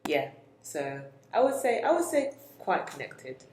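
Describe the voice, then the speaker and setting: Young adult speaker, mid-pitched, soft and musing, roomy echo. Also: sing-song, measured pace, slight background noise. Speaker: co-host. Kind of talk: reflective personal conversation. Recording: echoey room.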